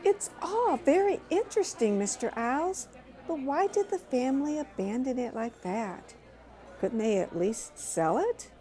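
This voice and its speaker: A woman's voice: high voice, animated, unhurried, dark tone. A woman playing a polite, inquisitive young magazine reporter in an audio drama, speaking in a careful, formal way. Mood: curious.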